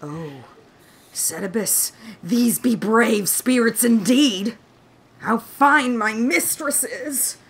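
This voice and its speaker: A woman's voice: fairly high pitch, expressive, takes it slowly. A woman playing an awed, fearful monstrous slave in a Shakespearean radio play, speaking wondering archaic verse. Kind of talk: Shakespearean radio drama acting. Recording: faint noise behind online recording.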